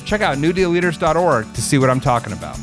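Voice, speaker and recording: A man co-hosting a podcast, reading a scripted intro and then chatting in a friendly, relaxed way. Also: on the high side, quick pace, loud music behind the voice. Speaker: podcast co-host.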